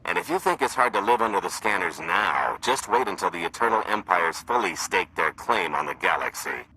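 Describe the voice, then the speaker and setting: A man's voice: sits low, expressive, fairly quick, bright, forceful tone, slightly rough, heavily acted, low-quality recording. A man voicing a cocky, wisecracking smuggler in a video game, glib, sly and sardonic. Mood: smug, sly.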